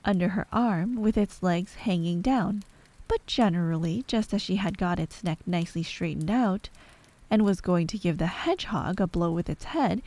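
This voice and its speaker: A woman's voice: lowish voice, normal speed, darkish tone. A woman storyteller reading a scripted bedtime story in an ASMR narration style.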